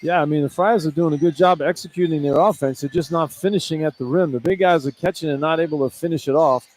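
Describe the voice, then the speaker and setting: A man with a slightly high voice works as color analyst on a live basketball radio broadcast. He explains the plays in a conversational way, circling back over his own phrases. Light arena noise is heard behind him. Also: fast talker, a little mellow. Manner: conversational, explanatory, repeats himself. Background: arena ambience.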